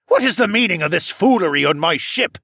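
A man voicing a stern, authoritative ship captain in a fantasy audiobook, speaking forcefully. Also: high voice, animated, fairly quick, creaky, slightly rough, no echo, studio quality, voice pushed up, heavily acted. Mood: stern command. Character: commanding male ship captain, usually easygoing, now stern.

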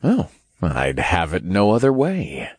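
A man's voice: low voice, wildly swooping pitch, slow, darkish tone, husky, no echo, clean studio sound, full character voice. A man voicing an easygoing, loyal young king in an audiobook, speaking casually and frankly.

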